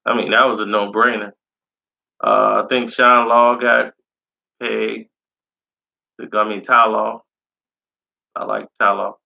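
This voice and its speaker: A man with a raspy voice, a little hoarse as he recovers from being sick, giving loose, slangy sports opinions in a casual chat. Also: lowish voice, level tone, slow pace, pauses often, sharp tone. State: recovering from illness, slightly hoarse. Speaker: sports commentary vlogger. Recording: dull lo-fi sound.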